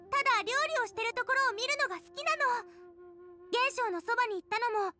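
A woman's voice: very high-pitched, lively intonation, fast talker, music in the background. A woman voicing a cheerful young girl chef, earnest and encouraging, in a Japanese anime-style game. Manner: earnest, girlish, supportive.

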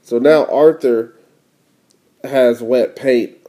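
A man's voice: medium pitch, steady tone, extremely slow, mellow. A father reading a picture book to his young child, explaining it casually and prompting with questions. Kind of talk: storybook read-aloud with casual explanations.

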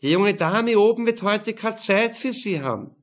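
A man's voice: high voice, lively intonation, normal speed, voice pushed up. A man voicing a suspicious, officious woman caretaker in a crime audiobook. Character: suspicious woman caretaker in grey work coat.

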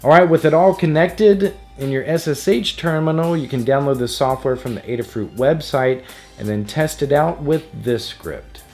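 A man's voice: a little high, moderate pace, darkish tone. A man narrating a scripted electronics tutorial in a playful, jokey manner. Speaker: host of an electronics DIY tutorial. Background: background music.

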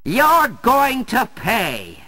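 A snarling arcade villain who spits out taunts and threats. His voice is high and bright, with wild swings in pitch, and he drags every word out slowly. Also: huge pitch swings, full character voice. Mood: menacing.

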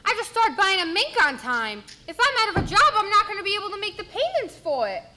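A woman's voice: extremely high pitch, sing-song, fast talker, very noisy. A young woman acting on a school stage as a flighty, self-centred radio actress with a slangy, whiny delivery. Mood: panicked whining.

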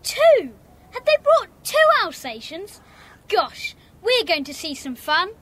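A woman's voice: very high-pitched, big pitch swings, slow pace, fairly bright tone, heavily acted. A woman playing a worried, kind-hearted young child with an English accent in a children's audio drama. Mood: pleading.

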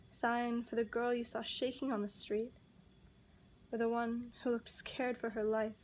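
A young woman performing a slam poem in an earnest, pleading way, with measured, deliberate phrasing. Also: a little high, a little mellow, slight background noise. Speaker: spoken word poet. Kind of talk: slam poetry recitation.

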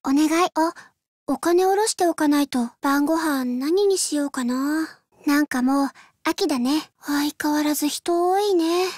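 A woman voicing a gentle, polite young Japanese woman in a video game, with soft, girlish delivery in short reactive lines. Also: high-pitched, measured pace, no room sound, clean studio sound. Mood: gentle, casual.

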